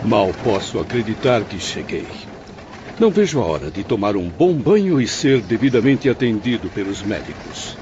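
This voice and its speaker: A weary, self-centred male spirit in a radio drama, peevish and haughty. His pitch moves a great deal. The recording is muffled with clear background noise. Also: big pitch swings, moderate pace. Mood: weary impatience. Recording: dull noisy lo-fi radio drama.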